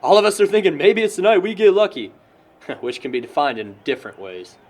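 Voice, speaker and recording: Young American male actor as a gossipy, sarcastic pal for audio drama, slightly high voice, very animated melody. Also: fairly quick. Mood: wry. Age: young adult. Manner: wry, chatty, sarcastic. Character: wry, gossipy young man defending and teasing friends. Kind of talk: conversational audio drama acting.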